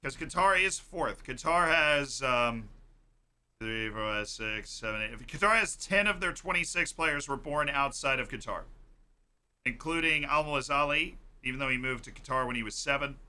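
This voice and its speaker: A man's voice: mid-range voice, expressive, moderate pace, bright, forceful tone, clear, resonant tone. A man hosting a casual live stream, quizzing his viewers in a playful, teasing, emphatic way.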